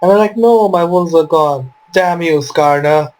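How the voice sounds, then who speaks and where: A man's voice: on the high side, unhurried, a little mellow. A man giving informal, wry commentary on his own video game match, voicing his reactions as he goes.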